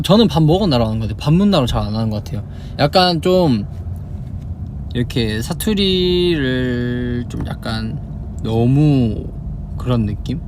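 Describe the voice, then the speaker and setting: A young man from Busan with a Busan Korean accent, chatting casually in a livestream, answering fan questions and demonstrating dialect phrases. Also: mid-range voice. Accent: Busan Gyeongsang Korean. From Busan, South Korea. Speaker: idol livestream host. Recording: steady car noise behind.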